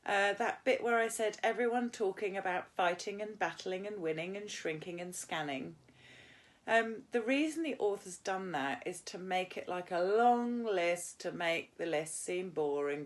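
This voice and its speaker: A British woman, an English teacher, reading a novel aloud and explaining it informally as she goes. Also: fairly low pitch.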